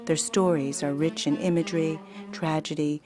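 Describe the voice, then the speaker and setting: Low-voiced woman narrating over violin. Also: steady tone. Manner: measured, reflective storytelling. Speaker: actress, writer and teacher narrating. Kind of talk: scripted documentary narration. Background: violin music.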